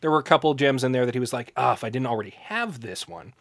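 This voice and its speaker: A man's voice: medium pitch, fast, fairly bright tone, no echo. A man co-hosting a podcast in casual chat, speaking in a dry, matter-of-fact way. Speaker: podcast co-host.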